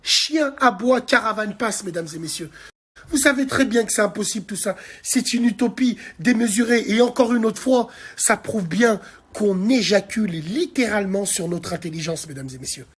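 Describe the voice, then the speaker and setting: Man, 40s, from France; husky, a touch high; crude, angry phone rant from a dark bedroom. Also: moderate pace. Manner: heated, crude and insulting, addresses viewers directly. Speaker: social media commentator. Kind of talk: angry political rant to camera. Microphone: phone held at arm's length.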